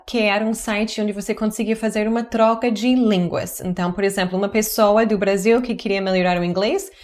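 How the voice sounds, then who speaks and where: A woman in her forties, a native English speaker, talking in Portuguese in a chatty, earnest vlog with upbeat jumps in pitch. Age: 40s. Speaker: YouTuber teaching languages. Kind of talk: personal vlog narration to camera.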